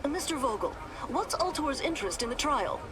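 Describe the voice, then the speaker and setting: A woman playing a brisk, formal, inquisitive television news reporter in a video game cutscene. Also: sits high, sing-song, a crowd in the background. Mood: probing. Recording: noisy outdoor street background.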